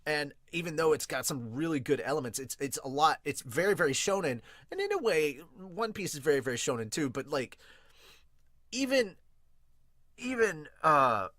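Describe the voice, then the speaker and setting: Lebhafter Podcaster mit hoher Männerstimme. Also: male voice, on the high side, moderate pace, dry recording, studio quality. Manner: excitable, sweary. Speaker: podcast host and manga reviewer. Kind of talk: casual rambling review commentary.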